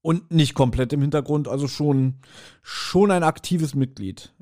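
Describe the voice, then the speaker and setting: A man's voice: fairly high pitch, unhurried, darkish tone. A man chatting casually as a podcast co-host reviewing an audio drama, colloquial in his wording.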